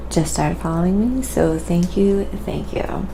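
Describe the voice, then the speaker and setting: Woman, livestream host, lowish pitch, heavy vocal fry, casual and halting, thinking aloud. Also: background noise. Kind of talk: casual livestream chat while painting, reading viewer comments.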